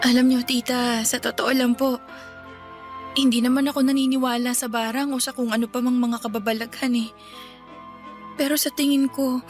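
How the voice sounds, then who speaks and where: An actress playing a remorseful young woman covered in sores, speaking softly and apologetically in a radio drama. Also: high-pitched, natural voice. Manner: soft, downcast and apologetic.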